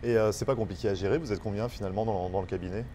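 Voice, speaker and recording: A man hosts a conversational interview in a lowish, warm and relaxed voice. His questions come loosely phrased and a little hesitant. There is faint ambient noise on the recording. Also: rapid-fire. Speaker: TV show host, interviewer.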